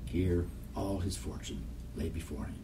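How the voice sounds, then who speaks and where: A man giving a measured, scripted reading of documentary poetry. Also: deep voice, flat delivery. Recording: distant mic, noisy background.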